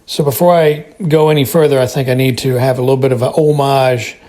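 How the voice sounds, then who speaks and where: A man's voice: mid-range voice, fairly even intonation, brisk, a little mellow. A middle-aged or older American man giving a casual spoken review, hesitating and repeating words as he rambles. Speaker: listener calling in with feedback.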